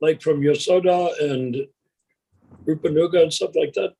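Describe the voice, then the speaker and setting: A man's voice: a little high, moderate pace, vocal fry, dark tone, husky, poor audio. A male co-host on a video call, speaking wryly and arguing his point with sarcastic jokes. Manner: wry, argumentative, sarcastic jokes. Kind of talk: casual video-call discussion.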